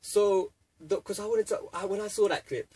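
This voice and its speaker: A man's voice: high voice, moderate pace, dark tone, a little hiss or hum. A man hosting a casual radio interview in a studio, playful and teasing. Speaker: radio DJ host.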